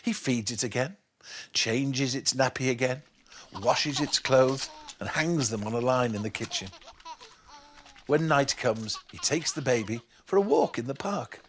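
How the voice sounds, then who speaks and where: Animated, unhurried man reading a bedtime story. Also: mid-range voice, a little hiss or hum. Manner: expressive, animated, measured.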